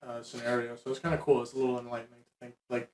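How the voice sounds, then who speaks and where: A man's voice: mid-range voice, little pitch movement, rapid-fire, crowd noise. A young man co-hosting a podcast in casual chat, who swears freely and laughs a lot. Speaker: podcast co-host, streamer. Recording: echoey room.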